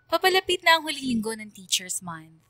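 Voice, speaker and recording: A woman's voice: high-pitched, very animated, brisk, bright, forceful tone, no room sound, exaggerated voice. A woman voicing a caring, observant schoolgirl who tells her story as radio drama narration. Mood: reflective. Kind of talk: first-person storytelling narration.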